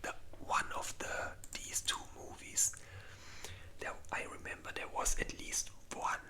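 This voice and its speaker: A host speaking in a breathy, unvoiced whisper, rambling informally in a casual ASMR ranking video. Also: unhurried, pushed and bright.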